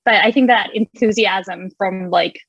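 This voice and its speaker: A woman's voice: medium pitch, measured pace, fairly bright tone, husky, no echo, muffled recording. A young woman who grew up in Indonesia, a music writer talking as a guest in a casual interview, enthusiastic and full of fillers. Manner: chatty, enthusiastic, many fillers and laughs.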